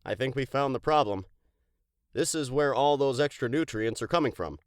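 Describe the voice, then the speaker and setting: A woman voicing a curious, practical young explorer in an expressive children's storybook read-aloud. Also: very deep voice, moderate pace, dry recording, studio quality, voice pushed down. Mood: thoughtful. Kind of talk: dramatized children's storybook dialogue.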